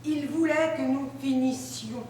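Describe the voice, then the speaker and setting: A woman on stage reading grammar examples and old texts aloud in a precise, deliberate way, in a live performance. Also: high voice, a little mellow, a little hiss or hum. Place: large hall, live performance. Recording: echoey hall from far off.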